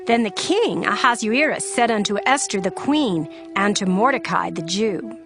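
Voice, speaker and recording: A woman reading scripture as a scripted narrator, telling the story in a solemn, measured manner. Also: medium pitch, animated, over music.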